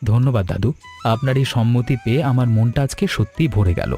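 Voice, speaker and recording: A man voicing a sincere, courteous young suitor in an animated story, speaking earnestly and politely. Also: mid-range voice, brisk, faint music behind, close to an everyday voice. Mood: respectful, grateful. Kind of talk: Bengali animated story dialogue.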